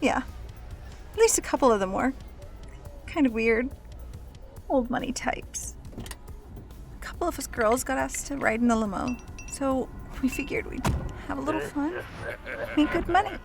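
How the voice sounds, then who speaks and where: High, creaky-voiced frightened young dancer. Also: female voice, animated, a little slow, long pauses, over music, full character voice. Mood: uneasy recounting. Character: frightened young dancer woman, pleading and candid. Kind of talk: radio drama acting.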